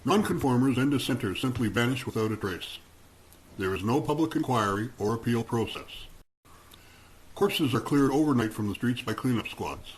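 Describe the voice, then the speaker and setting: A man's voice: mid-range voice, expressive, normal speed, slightly rough, poor audio. A man reading scripted narration for a radio play.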